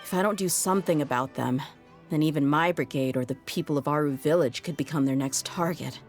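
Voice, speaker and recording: A woman's voice: sits low, a little fast, soft background music. A woman voicing a tough, jaded young mercenary in a video game, speaking frankly and wryly. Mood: grim, troubled. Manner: frank, wry, world-weary. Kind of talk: video game voice acting, story dialogue.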